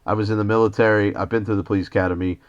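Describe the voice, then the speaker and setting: A man's voice: on the low side, fairly even intonation, very fast. An American man, a citizen journalist on a phone call, arguing in an indignant, lecturing manner as he confronts the police. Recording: dull lo-fi phone call recording.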